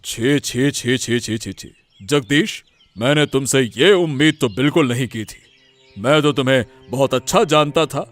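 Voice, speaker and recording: A man's voice: mid-range voice, measured pace, faint music behind, lightly acted. A man voicing a stern village boss in a cartoon who judges others with reproachful authority. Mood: disappointed. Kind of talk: Hindi moral-story cartoon voice acting.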